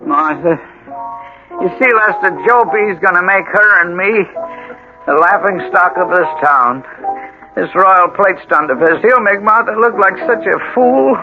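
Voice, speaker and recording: An actor playing an agitated, suspicious small-town husband in an old-time radio drama, stammering and insisting. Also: male voice, high voice, a little slow. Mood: brooding, resolved. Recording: muffled old radio recording, music behind.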